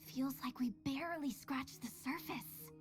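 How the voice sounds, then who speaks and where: A bubbly young game sidekick with a high voice, devoted and eager. She gushes perkily, with faint music underneath. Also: a little slow. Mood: upbeat. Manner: gushing, perky. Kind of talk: video game character acting.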